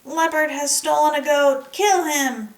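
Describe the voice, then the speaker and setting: A woman's voice: high-pitched, fairly even intonation, unhurried, pitched above the performer's own voice. A woman voicing a sly male trickster dog in a children's folktale, with theatrical cries of alarm and feigned innocence. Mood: mock alarm. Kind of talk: children's folktale read-aloud. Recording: echoey room, distant microphone.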